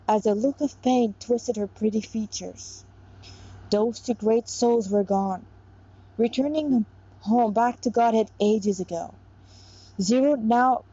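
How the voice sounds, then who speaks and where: A woman's voice: a little high, warm tone, slightly rough. A woman reading a story aloud informally and expressively, with casual asides, stumbling now and then over the text. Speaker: narrator reading own story. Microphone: clip-on lapel mic. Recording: dull, lo-fi sound.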